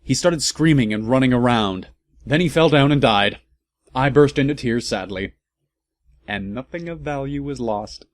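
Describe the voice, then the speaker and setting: A man's voice: medium pitch, normal speed. A man reading a story aloud as a comedic host, breaking off for wry, amused asides.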